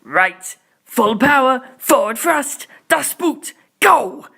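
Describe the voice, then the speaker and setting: A narrator doing a loud, high, brash young-sounding pilot. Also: lively intonation, takes it slowly, gravelly, slightly rough, full character voice. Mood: fired up. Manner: shouting, exuberant. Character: brash, foul-mouthed, excitable male submarine pilot.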